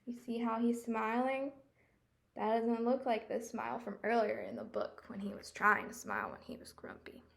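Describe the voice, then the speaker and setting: A woman's voice: fairly high pitch, steady tone, moderate pace, gravelly. A woman storyteller reading a picture book aloud, expressively explaining and asking young listeners questions.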